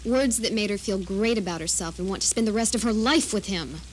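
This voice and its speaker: A woman playing a bitter, vengeful captor in an audio drama, speaking with sardonic, deliberate menace. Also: medium pitch, close to an everyday voice. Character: vengeful doomed female captor, bitter, taunting, coldly menacing. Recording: faintly noisy radio drama recording.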